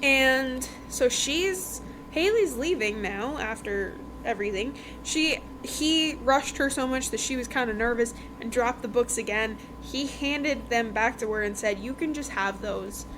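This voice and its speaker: A woman's voice: on the high side, expressive, a little slow, few pauses. A woman podcast host casually retelling a novel's plot in a chatty, conversational way. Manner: chatty, conversational, acts out dialogue in passing. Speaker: podcast host reviewing books. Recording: clearly noisy background.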